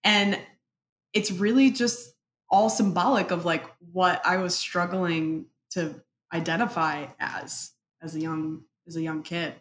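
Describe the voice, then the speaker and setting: A woman's voice: on the low side, steady tone. Reflective woman with a roomy echo. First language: Spanish. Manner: thoughtful, halting, many fillers. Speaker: interview guest. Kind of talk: reflective personal interview. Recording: echoey room, faint ambience.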